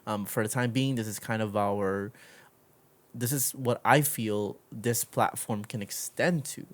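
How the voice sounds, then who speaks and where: A man's voice: on the low side, unhurried. Man hosting a casual, rambling podcast. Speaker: podcast host.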